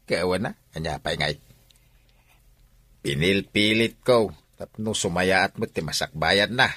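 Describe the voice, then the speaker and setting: An actor playing a lively adult man in an Ilocano comedy radio drama, with music behind. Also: low voice, unhurried, no room sound, heavily acted. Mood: earnest.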